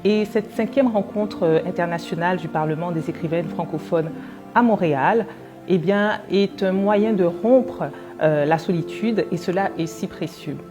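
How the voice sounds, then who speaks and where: A woman's voice: lowish voice, moderate pace, over music. A woman writer speaking in a composed, earnest way in an interview. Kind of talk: reflective interview testimony.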